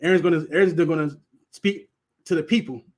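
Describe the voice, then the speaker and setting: A man's voice: fairly high pitch, steady tone, quick pace, darkish tone. Stuttering man teaching scripture. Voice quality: stutters, repeats words. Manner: laughs often, talks to the class directly. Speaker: teacher leading a Sabbath class. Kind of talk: casual Bible teaching.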